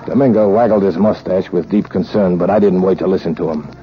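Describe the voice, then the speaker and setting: Man acting low-voiced cynical American adventurer, old radio. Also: steady tone, brisk, faint music behind, lightly acted. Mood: dry, determined. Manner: wry, wisecracking. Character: cynical, money-hungry American male gunrunner adventurer. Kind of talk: 1940s radio acting, hard-boiled narration. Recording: muffled, noisy 1940s radio recording.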